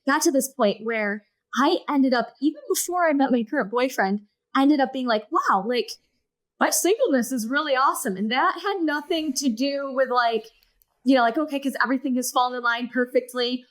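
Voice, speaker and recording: High-voiced earnest woman telling her story. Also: normal speed. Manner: earnest, animated, acts out her own past thoughts. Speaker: podcast guest. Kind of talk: personal storytelling in conversation.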